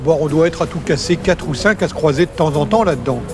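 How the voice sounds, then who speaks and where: A man playing a calm, slightly pompous colleague explaining things in an amateur audio drama. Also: fairly high pitch, fast talker, over music, close to an everyday voice. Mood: wry. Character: wry, composed male colleague who opens the office.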